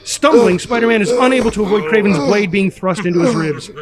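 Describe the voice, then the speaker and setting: A man's voice: high-pitched, brisk. A man narrating action and stage directions from a script in a dramatic style.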